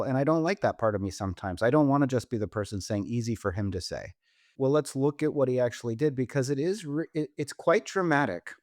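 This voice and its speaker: A man's voice: medium pitch, a little mellow, dry recording. Man podcast host with emphatic delivery, dry sound. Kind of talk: conversational business podcast discussion.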